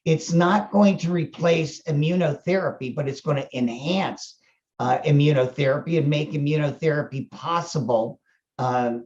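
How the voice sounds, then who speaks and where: A man's voice: a little high, moderate pace, a little mellow, highs cut off. An older American man giving conversational interview answers in a folksy, wry, colloquial way. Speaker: chief medical officer interviewed about his company.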